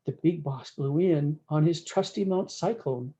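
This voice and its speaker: A woman's voice: low voice, measured pace, warm tone. A woman storyteller reading a rhyming cowboy-dialect storybook aloud in a folksy way, drawing out words for emphasis. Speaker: storyteller reading a picture book.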